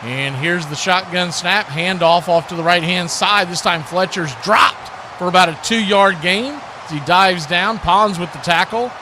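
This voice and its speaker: A man with a fairly high voice gives live radio play-by-play of a football game. He works as the announcer, describing the action as it happens. Clear background noise sits behind his voice. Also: unhurried, few pauses.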